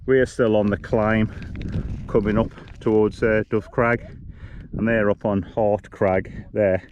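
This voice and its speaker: A man's voice: fairly low pitch, level tone, slow pace, dull audio. A man giving casual, conversational field-test commentary as he vlogs outdoors. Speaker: vlogger, hiking group leader. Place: outdoors on mountainsides. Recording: noisy outdoor recording with clicks.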